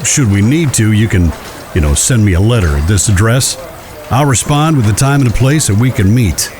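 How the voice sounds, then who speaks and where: An actor in an audio drama playing a discreet Old West judge, speaking in a formal, careful, courteous way. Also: male voice, lowish voice, animated, moderate pace, close to an everyday voice. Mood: cautioning, courteous.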